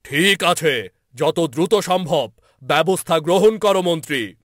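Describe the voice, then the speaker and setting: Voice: medium pitch, lively intonation, unhurried, fairly bright tone, no echo, studio quality, pitched above the performer's own voice. A man playing a worried, doting king and father in a fairy-tale cartoon. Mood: urgent.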